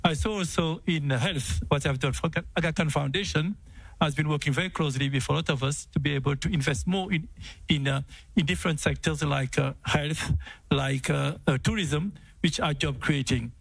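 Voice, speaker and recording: A man from Senegal with a Senegalese accent, speaking haltingly as he answers an audience question as a panelist at a town hall. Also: fairly high pitch, vocal fry, breathy edge. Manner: halting, repeating words. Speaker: development bank executive on a panel.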